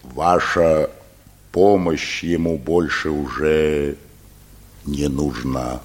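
Very deep, husky, grave manservant. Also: male voice, fairly even intonation, full character voice. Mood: grave, subdued. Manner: slow, halting, solemn delivery. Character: grave, anxious manservant bringing news of death. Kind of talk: radio play acting.

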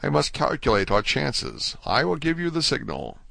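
A man voicing a mysterious older male prisoner in a storybook, subdued, measured and sorrowful. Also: medium pitch, sing-song, vocal fry, sharp tone, dry recording, voice pushed up, heavily acted. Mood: measured, reassured.

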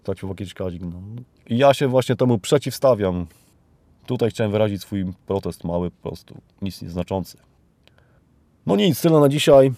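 A man's voice: lowish voice, lively intonation, normal speed, dark tone, dry recording. A man from Poland hosts a podcast, speaking off the cuff in an ironic, rambling commentary full of rhetorical tag questions. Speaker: podcast host. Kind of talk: unscripted spoken commentary, monologue.

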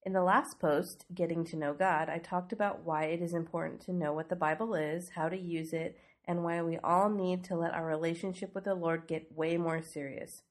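Young woman with a stuffy cold voice, low-ish, earnest and chatty, hosting a faith podcast. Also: fairly even intonation, moderate pace, few pauses. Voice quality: snuffly, stuffy nose. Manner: earnest, conversational teaching with rhetorical questions. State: congested from a cold. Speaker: podcast host. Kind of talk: devotional podcast teaching.

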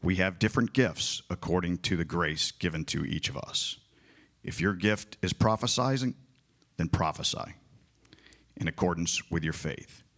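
A man reading a long scripture passage aloud in church, in a slow and solemn way. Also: low-pitched, fairly bright tone. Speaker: scripture reader.

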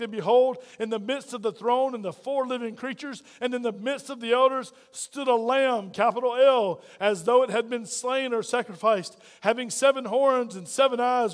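A man preaching a sermon as pastor, loud and folksy, full of playful asides to the congregation. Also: high-pitched, long reverb tail.

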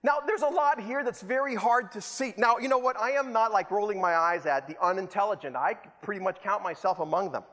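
A man's voice: high-pitched, animated, a little fast, hardly any pauses, fairly bright tone. A man from El Salvador, preaching a sermon with rhetorical repetition and self-deprecating humor. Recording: long hall reverb.

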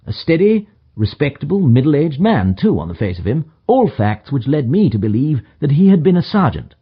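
A man's voice: fairly high pitch, very animated, normal speed, breathy edge, lo-fi sound, voice pushed up. A man voicing a self-assured, superior detective in an audiobook, with emphatic, clipped pronouncements and dry condescension. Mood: smug, explanatory. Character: brisk, self-assured detective, languid yet energetic and superior. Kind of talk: audiobook character dialogue.

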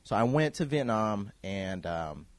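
A man in his thirties from Kansas City, a guest telling his story in a relaxed, rambling way, full of fillers and restarts. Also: lowish voice, unhurried, no room sound. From Kansas City, USA. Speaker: guest, clothing designer. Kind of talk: casual podcast interview.

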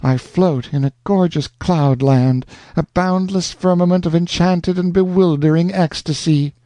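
A lovestruck young woman pours out her devotion in a raised, dreamy voice, as a man puts her on. The tone stays dark and close, with a dry, unreverberant sound. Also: lowish voice, measured pace, no room sound, voice pushed up, lightly acted. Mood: rapturous. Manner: gushing, breathless devotion. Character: adoring young woman in love.

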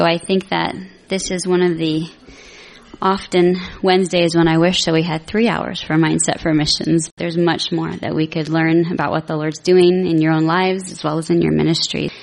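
A woman hosting a church program who thanks the guest and leads a public prayer with a reverent, steady cadence. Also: lowish voice, gravelly, low-quality recording. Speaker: program host.

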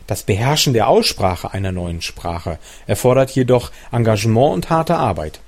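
A man reading a translated nonfiction book aloud as its narrator, at a measured, even pace with the odd self-correction. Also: mid-range voice.

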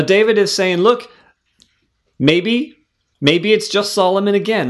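Earnest man teaching from the Bible. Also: on the high side. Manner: earnest, conversational, with many fillers and restarts; reads verses aloud slowly. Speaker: preacher teaching scripture.

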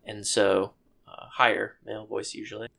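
A man with a low voice co-hosting a podcast, talking thoughtfully with many pauses and restarts. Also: flat delivery, gravelly, fairly bright tone. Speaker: podcast co-host. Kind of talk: casual chat.

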